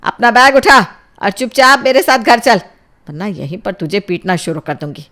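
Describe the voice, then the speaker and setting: A woman voicing a strict middle-aged mother in an audio drama, scolding and threatening in a forceful way. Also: low voice, a little fast. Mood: furious.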